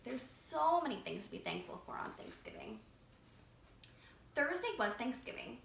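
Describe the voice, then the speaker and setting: A woman reading a picture book aloud to children as a library storytime reader, expressive and engaging them directly. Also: a little high, normal speed, creaky. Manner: expressive, asking young listeners questions. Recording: roomy echo, faint background noise.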